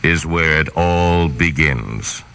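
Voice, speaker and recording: A man's voice: a bass voice, takes it slowly. A man announcing a 1960s radio car advertisement with bold, punchy salesmanship. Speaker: commercial announcer. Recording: 1960s radio commercial aircheck. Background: loud music.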